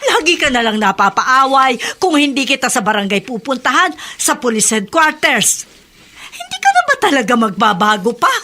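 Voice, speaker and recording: A woman's voice: sits high, very animated, normal speed, sharp tone, exaggerated voice. A Filipino woman playing an exasperated, scolding widowed mother in a radio drama. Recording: faint background noise.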